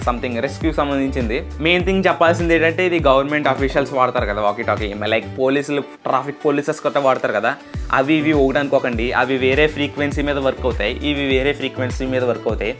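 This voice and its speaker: A man chatting casually and explaining things as he hosts an unboxing and product demo. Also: mid-range voice. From India. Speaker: video host. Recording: loud music behind the voice. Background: loud music.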